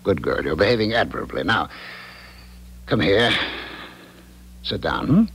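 Slick callous married cheater, raspy, lively swoopy delivery, old radio play. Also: male voice, sits low, measured pace, exaggerated voice. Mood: cajoling, amused. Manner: patronizing, cajoling, hesitant when cornered. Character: smug, callous married philanderer, smooth-talking and condescending. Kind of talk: 1970s radio drama acting.

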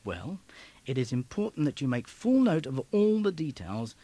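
A man narrating an instructional tape from a script in a clear, emphatic, instructive way. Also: a little high, big pitch swings, moderate pace, dark tone.

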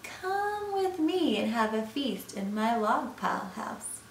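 A woman's voice: on the high side, sing-song, slow, reverberant, recorded from a distance, a little hiss or hum. A woman voicing a sly, easily scared snake in a storybook, with a coaxing manner. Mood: coaxing, curious.

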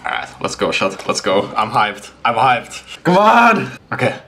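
A man's voice: lowish voice, normal speed, pushed and bright, a little hiss or hum. A man in his twenties giving hyped live stream commentary, often repeating his phrases. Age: 20s. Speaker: game streamer. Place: small home room with kitchen. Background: music.

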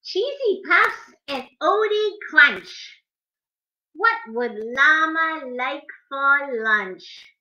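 Middle aged lady doing preschool storytime, slow n sing-songy, really bright voice, echoey room on a webcam. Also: high-pitched, expressive. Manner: sing-song, emphatic read-aloud delivery. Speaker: children's librarian hosting preschool storytime. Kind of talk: preschool storytime, reading a picture book aloud. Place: indoors in a home living room. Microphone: webcam or laptop at arm's length. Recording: dull webcam audio, echoey living room.

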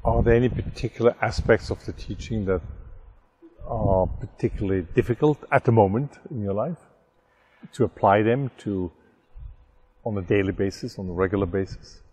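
Male interviewer, low and husky, polite probing questions. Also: moderate pace, a little mellow, highs cut off.

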